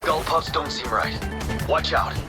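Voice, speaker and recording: Urgent, high-pitched male racer played by an actor. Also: a little slow, over loud music, close to an everyday voice. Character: urgent male racer shouting warnings and encouragement to teammate. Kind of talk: video game action acting.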